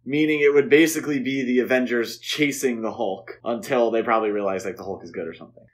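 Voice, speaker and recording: A man's voice: medium pitch, steady tone, fast. Casual man co-hosting a podcast. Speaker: podcast co-host. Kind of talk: casual film discussion.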